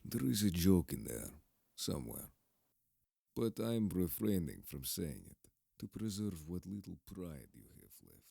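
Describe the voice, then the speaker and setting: A gruff, deadpan merman, voiced by a man, rumbles extremely deep and slow. The voice is gravelly and husky, with calm, dry humor in audio-drama style. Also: no room sound, full character voice.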